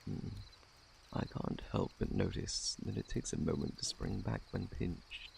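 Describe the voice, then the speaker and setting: Deep, creaky British plague doctor played by a man. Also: very animated, measured pace, slight background noise, full character voice. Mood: gentle, attentive. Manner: formal, gentle, reassuring, old-fashioned wording. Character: courteous, scholarly male plague doctor examining a patient. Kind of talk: ASMR roleplay, soft-spoken. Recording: faint night insect ambience.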